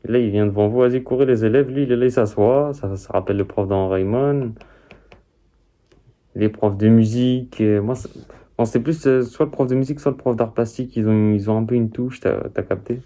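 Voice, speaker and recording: A man's voice: medium pitch, fairly quick, dark tone. A man giving casual, slangy commentary as a reaction streamer, addressing the viewer directly.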